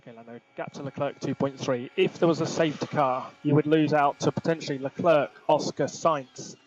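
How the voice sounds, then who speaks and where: A man's voice: fairly high pitch, steady tone, a little fast. A man working as a race engineer, giving terse, matter-of-fact instructions to a driver over team radio. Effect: team radio transmission. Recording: muffled team radio channel.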